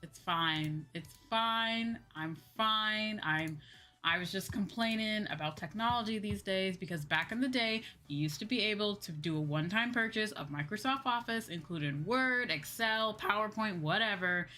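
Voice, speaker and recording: A woman's voice: medium pitch, moderate pace, bright, forceful tone, faint music behind. A woman livestreamer chatting casually and ranting in a dramatic, exasperated way, repeating phrases for emphasis. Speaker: livestreaming VTuber host.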